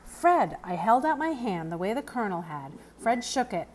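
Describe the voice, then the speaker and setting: A woman giving an expressive read-aloud of a children's novel as the narrator. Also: mid-range voice, fairly quick, a little hiss or hum. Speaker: book reader.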